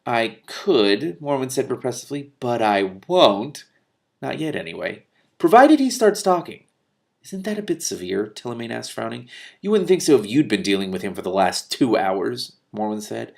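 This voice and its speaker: A man reading a fantasy novel aloud, raising his voice for the characters' lines and breaking off for quick, lively comments and laughs. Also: creaky. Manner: animated, laughs and swears in asides, quick mutters between passages; rises in pitch and volume for character lines. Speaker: reader and commentator.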